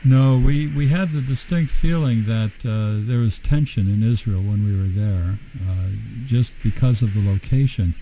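Mellow-voiced radio host, hesitant. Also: male voice, lowish voice, normal speed, few pauses, slight background noise, rough recording. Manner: hesitant, many "uh" fillers. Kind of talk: radio interview.

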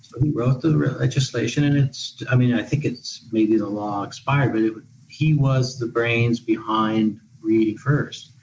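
A man's voice: medium pitch, measured pace, few pauses, darkish tone, a little hiss or hum, poor audio. A man who is a guest expert on an education podcast, talking in long, discursive answers with many restarts. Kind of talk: podcast interview. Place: living in Portugal.

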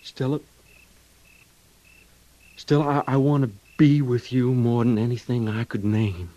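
An American actor playing a worried, tender young cavalry trooper husband in an old-time radio drama, with a folksy rural way of talking. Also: male voice, medium pitch, steady tone, a little slow, lo-fi sound, natural voice. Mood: troubled, earnest. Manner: folksy rural drawl, gentle and earnest.

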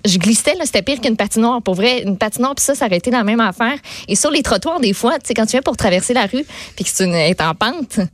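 A woman's voice: a little high, dry recording. A woman with a Québécois accent co-hosting a radio show, telling stories in a casual, colloquial, self-deprecating way. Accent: Québécois French. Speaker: radio co-host.

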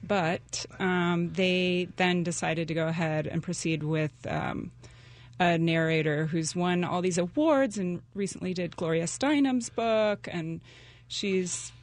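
A woman's voice: lowish voice, steady tone, takes it slowly. A middle-aged woman talking about her novel as a guest in a casual interview, chatty and full of fillers. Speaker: guest author.

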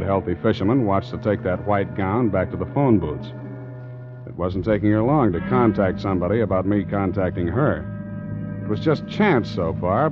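Actor as a low-voiced, hard-boiled American sea captain. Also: male voice, natural voice. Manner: clipped, wry hard-boiled delivery. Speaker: actor narrating and playing the lead. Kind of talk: 1940s radio drama acting. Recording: dull old radio recording, organ music.

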